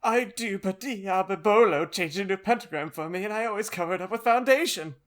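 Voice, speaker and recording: A man's voice: high-pitched, a little fast, fairly bright tone, pitched above the performer's own voice. A man voicing an overwrought gothic teenage vampire boy with melodramatic whining in a comic audiobook reading. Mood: defensive, frantic. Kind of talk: comic dramatic reading of fan fiction.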